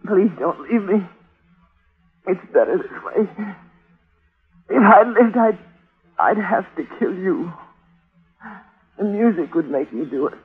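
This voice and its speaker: An actress playing a dying woman in a 1940s radio drama, forgiving and entranced, speaking breathily in halting, melodramatic phrases. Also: mid-range voice, lively intonation, breathy edge, full character voice. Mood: weak, pleading. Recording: muffled, thin 1940s radio recording.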